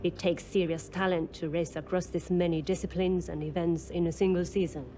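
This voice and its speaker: A woman's voice: lowish voice, little pitch movement, brisk, warm tone, slight background noise, lightly acted. A woman playing a serious, competitive lead racing driver in documentary-style game scenes, speaking matter-of-factly. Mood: firm, serious. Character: guarded, competitive female lead racing driver in her prime.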